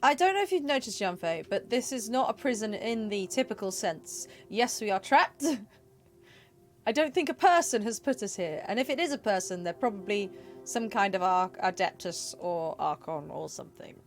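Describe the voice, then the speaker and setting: A woman's voice: mid-range voice, lively intonation, normal speed. Woman streamer, chatty and playful, light game music underneath. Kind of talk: casual gameplay commentary.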